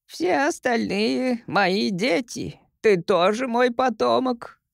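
A man voicing an ancient, tiny, merry female creature who is the first grandmother, in a teasing, giggly, drawn-out manner. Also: very high-pitched, animated, creaky, no echo, studio quality, voice pushed up, full character voice. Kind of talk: audiobook character voice.

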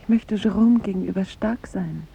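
An actress playing a charming young woman in a 1950s radio drama, speaking softly, earnestly and with delicate restraint, gently resolute in parting. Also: normal speed, gravelly, husky. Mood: pleading, sorrowful. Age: young adult. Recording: muffled vintage radio recording, rumbling hiss.

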